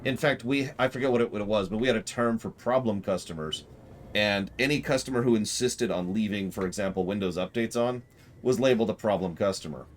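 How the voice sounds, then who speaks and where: A man's voice: lowish voice, a little fast, slight background noise. A man chatting casually on a game livestream, rambling in a wry way. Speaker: game streamer.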